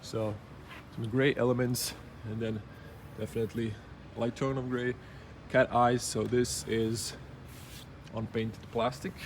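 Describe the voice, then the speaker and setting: A man with a slightly rough early-morning voice, giving a casual, unscripted walkaround review in halting, item-by-item phrases. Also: medium pitch, level tone, slight background noise. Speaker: car reviewer. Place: car show exhibition space.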